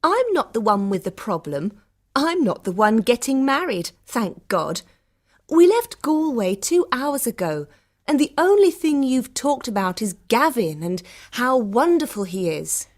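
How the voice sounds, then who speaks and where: A woman's voice: on the high side, sing-song, unhurried. A woman playing a cynical, quarrelsome young traveller with a scornful, biting manner in acted audiobook dialogue. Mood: scornful, sarcastic.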